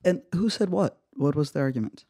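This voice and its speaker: A narrator from Russia telling a story in a measured, matter-of-fact way. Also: male voice, on the high side, expressive, warm tone, dry recording, studio quality. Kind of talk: scripted radio narration.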